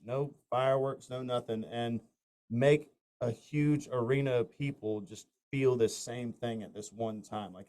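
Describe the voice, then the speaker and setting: Smooth-voiced man telling stories. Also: medium pitch, darkish tone. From north Florida. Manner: rambling anecdotes with many fillers and restarts. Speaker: country singer, interview guest. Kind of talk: casual storytelling interview.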